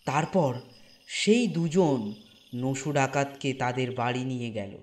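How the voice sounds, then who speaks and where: A man narrating a scripted horror story in a deliberate way, with dramatic pauses between phrases. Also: medium pitch, darkish tone.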